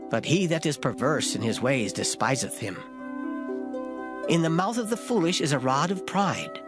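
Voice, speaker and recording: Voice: mid-range voice, expressive, normal speed, lightly acted. A reader giving a dramatized delivery of scripture in formal old English, emphatic and solemn. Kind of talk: dramatized Bible reading, formal King James English. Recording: loud music behind the voice. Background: music.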